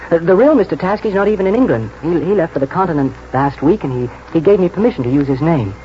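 Man playing a high-voiced, keen young stable lad. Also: natural voice. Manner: halting, excitable, colloquial. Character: keen, plain-spoken young English stable lad, encouraging. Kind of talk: 1940s radio drama acting. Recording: muffled, noisy 1949 radio broadcast.

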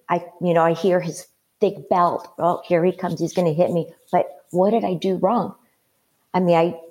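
A woman from Mexico telling her life story as an interview guest, earnest and halting, with many fillers. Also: on the low side, darkish tone, low-quality recording.

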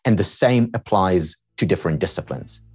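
A man's voice: deep voice, lively intonation, moderate pace, husky. A man whose first language is Hebrew, a guest on a podcast who explains his ideas in a lecturing way and builds each point through repetition. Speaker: podcast guest, happiness researcher and teacher. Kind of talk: explanatory interview talk.

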